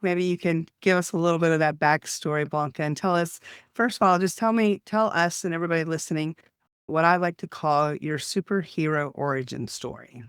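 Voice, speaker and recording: A man's voice: fairly high pitch, a little fast, a bit bright, clear, resonant tone, no room sound, clean studio sound. A man in his fifties with a Texan accent, hosting an interview in an enthusiastic, chatty way. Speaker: host.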